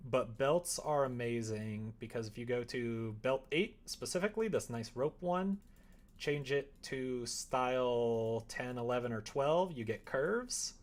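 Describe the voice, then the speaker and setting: A man's voice: mid-range voice, a little slow. A man streaming live, chatting casually with viewers and rambling as he thinks aloud.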